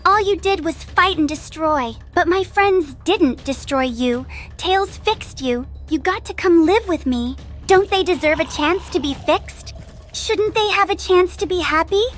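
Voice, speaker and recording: A woman's voice: very high voice, unhurried. A woman voicing a sweet, earnest little rabbit girl with a childlike, pleading manner in a comic dub.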